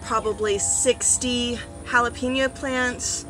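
A woman's voice: fairly high pitch, takes it slowly, poor audio. Chatty woman vlogging outdoors over music. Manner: chatty, with many ums and animated asides. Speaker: gardening vlogger. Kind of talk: casual vlog. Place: outdoors on a front porch by a yard. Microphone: phone or camera on a small tripod.

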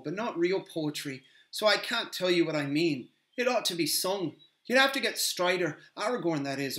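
A man's voice: fairly high pitch, fairly bright tone, pitched above the performer's own voice, natural voice. A man voicing a humble, loyal male hobbit gardener who talks in a rustic, rambling way. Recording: roomy echo.

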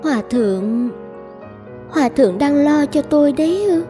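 A lovesick young schemer in a cartoon folk tale. Her voice is very high and bright, swinging widely as she pleads and coaxes in a plaintive, coquettish way. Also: expressive, normal speed, faint music behind. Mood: clingy, insistent. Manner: plaintive, coquettish, emotionally dramatic. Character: lovesick, scheming young woman feigning helpless distress. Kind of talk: Vietnamese animated folk tale dubbing.

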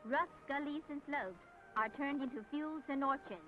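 A woman's voice: sits high, normal speed, music in the background. A woman reads formal, declamatory scripted narration for a 1970s propaganda documentary. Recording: tinny, band-limited, noisy 1970s film soundtrack.

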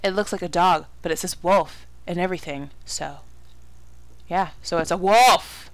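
A woman's voice: lowish voice, moderate pace, dry recording. A woman giving casual horror game commentary, exclaiming, swearing and muttering asides to herself. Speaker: let's play gamer.

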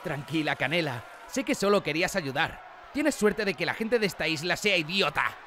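A woman's voice: low-pitched, expressive, normal speed, pushed and bright, a little hiss or hum. A woman with a Spain accent voicing an exasperated, sensible young male mayor in a cartoon parody. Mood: consoling. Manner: incredulous, exasperated, colloquial. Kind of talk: animated parody fandub acting.

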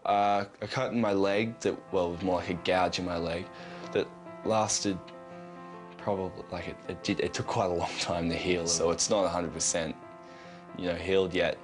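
A man's voice: low-pitched, fairly even intonation, normal speed. A young man, speaking hesitantly in a news interview, with many fillers and restarts. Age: late teens. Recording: news interview with music underneath.

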